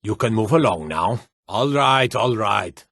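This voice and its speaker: A stern city guard from a fantasy video game, with a low, slightly husky voice. He is gruff and authoritative, handing out clipped threats like a weary lawman who could be bought. The recording is dry and close. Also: animated, unhurried, a bit bright, no echo, exaggerated voice. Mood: stern, grudging. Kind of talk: scripted fantasy game voice lines.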